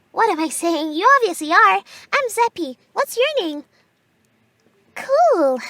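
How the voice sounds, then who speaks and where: A woman's voice: very high-pitched, sharp tone, no room sound, heavily acted. A woman voicing a hyperactive, chatty, perky young alien on a speed date in an audio roleplay, rambling in a bubbly way. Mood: excited. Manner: bubbly, rapid-fire rambling.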